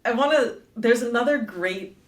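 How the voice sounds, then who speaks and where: A middle-aged woman talking as a guest and author in a casual interview, chatty and quick to laugh, with lots of fillers. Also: fairly high pitch. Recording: roomy echo, distant from the mic.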